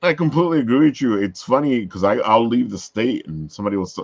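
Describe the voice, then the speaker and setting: A man's voice: mid-range voice, expressive, quick pace, creaky, a little mellow, dry recording, lo-fi sound. A man from Brooklyn with a Brooklyn accent, hosting a casual chat in a laid-back, slangy way and laughing easily. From Brooklyn, New York. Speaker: podcast host.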